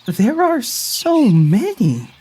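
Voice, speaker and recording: Warm young traveler for an audio drama, teasing and sincere, slow delivery with a wildly swinging pitch. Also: huge pitch swings, a little hiss or hum, full character voice. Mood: moved. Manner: playful, laughs often, unhurried.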